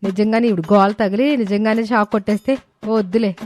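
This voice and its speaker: A woman's voice: medium pitch, fairly even intonation, a little fast, darkish tone, no echo, natural voice. A woman voicing a clever, persistent young daughter-in-law in a moral story, respectful but insistent. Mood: scheming. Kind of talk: dramatised moral story acting. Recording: music behind the voice.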